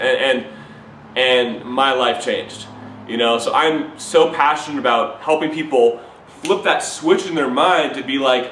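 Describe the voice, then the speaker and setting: A man giving an urgent, repetitive, preachy sales pitch straight to the camera. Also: fairly bright tone, reverberant, slight background noise, poor audio. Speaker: recruiting pitch to viewers.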